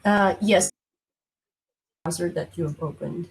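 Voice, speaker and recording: A woman's voice: fairly low pitch, a little slow, vocal fry, breathy edge, low-quality recording. A woman organizing the panel who breaks in with short, helpful remarks on a video call.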